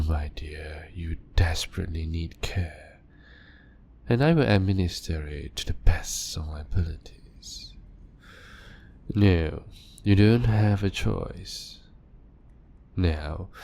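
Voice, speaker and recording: A man voicing an ancient male vampire doctor, calm and clinical, speaking softly and often in a whisper in an audio roleplay. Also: deep voice, gravelly, slight background noise, full character voice. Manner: soft-spoken and measured, often whispering.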